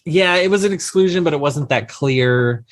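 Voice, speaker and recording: A man's voice: on the high side, normal speed. Emphatic man advising on insurance. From Louisiana, USA. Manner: emphatic, explanatory, rhetorical questions. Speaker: insurance attorney guest. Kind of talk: podcast interview, advising investors.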